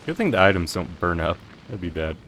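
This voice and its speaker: A man giving casual, low-key playthrough commentary, rambling as he thinks aloud. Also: very animated, brisk, noisy background. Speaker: game streamer. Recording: game music behind the voice. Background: game music.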